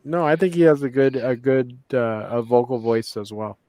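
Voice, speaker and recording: A man's voice: mid-range voice, steady tone, moderate pace, mellow, no echo. A man chatting casually on a live stream, tipsy and rambling, joking at his own expense. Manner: rambling, tipsy, self-mocking. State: drunk. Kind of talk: casual chat.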